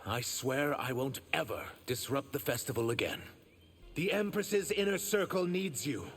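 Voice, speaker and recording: A man voicing an earnest, wounded reptilian shapeshifter for a video game, measured and sincere with a gravelly edge. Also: mid-range voice, a bit bright, soft background music, close to an everyday voice. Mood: earnest, resolute. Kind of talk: video game character acting.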